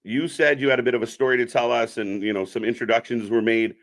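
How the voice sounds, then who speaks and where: A man's voice: medium pitch, little pitch movement, quick pace. A man hosting an informal interview in casual chat style, often repeating short affirmations. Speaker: host of a wrestling fan channel.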